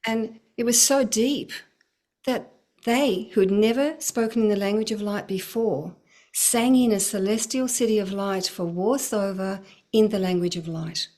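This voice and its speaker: Middle-aged woman, spiritual teacher; earnest, flowing delivery, mid-pitched, laughs easily. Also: a little slow, darkish tone. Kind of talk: casual spiritual talk.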